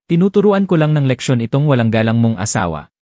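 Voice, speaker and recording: A man's voice: mid-range voice, big pitch swings, quick pace, dark tone, dry recording, clean studio sound, close to an everyday voice. A man voicing a cruel, domineering old rich woman who talks in a scornful, insulting way.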